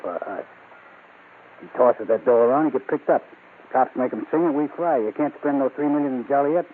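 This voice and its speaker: An actor playing a ruthless, calculating gang boss in an American old-time radio crime drama, tough and slangy. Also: male voice, medium pitch, brisk, natural voice. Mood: cold, scheming. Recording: noisy, tinny old radio recording.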